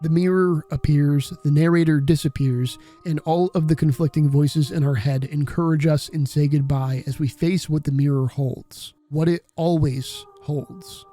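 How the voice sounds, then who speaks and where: A man's voice: fairly high pitch, fairly even intonation, moderate pace, few pauses, mellow, soft background music. A man narrating a video essay in a casual, reflective way, with the occasional swear.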